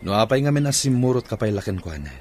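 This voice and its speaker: A man's voice: medium pitch, sing-song, rapid-fire, a little mellow, slight background noise, natural voice. A man with a Filipino accent playing a stern, troubled husband in a radio drama. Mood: questioning.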